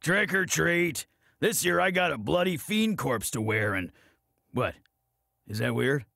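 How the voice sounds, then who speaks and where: A man's voice: a little high, expressive, a little slow, gravelly, bright, forceful tone, dry recording, studio quality, exaggerated voice. A man voicing an eager, naive young game character with odd tastes. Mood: eager, puzzled.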